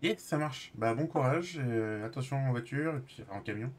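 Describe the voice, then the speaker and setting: Male game streamer, medium pitch. Informal, halting, improvised delivery. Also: slight background noise. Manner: hesitant, halting, trailing off. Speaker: live streamer playing a role-play game. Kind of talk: casual live-stream chat and improvised role-play.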